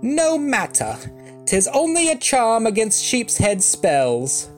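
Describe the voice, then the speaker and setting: A man's voice: very high voice, huge pitch swings, slow pace, faint music behind, pitched above the performer's own voice, exaggerated voice. A man voicing one of the small fairy folk in a storybook tale. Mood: dismissive. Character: small fairy folk talking among themselves.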